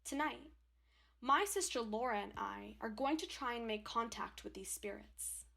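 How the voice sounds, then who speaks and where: A woman's voice: a little high, normal speed, gravelly, sharp tone, exaggerated voice. An actress playing a bossy, foul-mouthed woman who hosts a ghost-hunting show in a radio drama, with a dramatic delivery. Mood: dramatic, ominous. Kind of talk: radio drama acting as paranormal show presenter.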